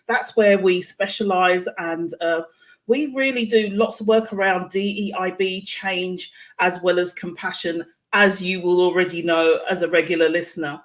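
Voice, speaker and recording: A woman's voice: on the low side, fairly even intonation, moderate pace. A woman hosting a live online interview show, speaking in a chatty, effusive and encouraging way. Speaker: show host.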